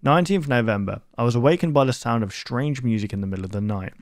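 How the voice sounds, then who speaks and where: A man playing a grave investigator in scripted analog horror narration, formal, measured and ominous. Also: mid-range voice, dry recording, clean studio sound, lightly acted. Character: grave investigator reading a doomed ship's log.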